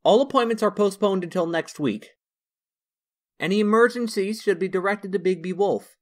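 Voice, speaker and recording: A man's voice: sits high, moderate pace, lightly acted. A man voicing a harried, flustered young office assistant. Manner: flustered, exasperated.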